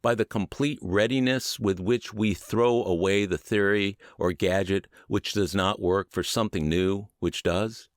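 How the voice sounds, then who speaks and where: A man reading a book aloud as a scripted audiobook narration, with measured, emphatic and deliberate phrasing. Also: on the low side, fairly even intonation, no echo, clean studio sound. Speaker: audiobook narrator and podcast host.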